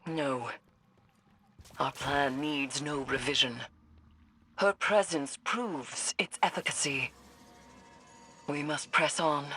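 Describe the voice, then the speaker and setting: A woman playing a vengeful, resolute dark sorceress in a video game, speaking deliberately and ominously in formal phrases. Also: sits low, husky, soft background music, exaggerated voice. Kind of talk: dramatic video game acting.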